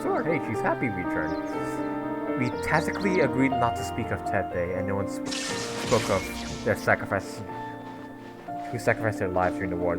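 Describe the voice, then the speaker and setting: A man playing through a game, talking casually in an offhand way. He reads the on-screen text aloud and trips over words now and then. His voice is very low, and loud game music sits right under it. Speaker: gamer playing through a story quest. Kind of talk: casual gameplay commentary.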